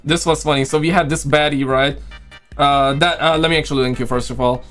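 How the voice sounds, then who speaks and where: A man's voice: a little high, fairly even intonation, a little fast, a bit bright, soft background music. A man hosting a casual livestream, rambling in slang and repeating his phrases, with a voice that is starting to give out. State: losing his voice. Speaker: livestream host and video creator. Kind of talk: casual livestream chat with viewers.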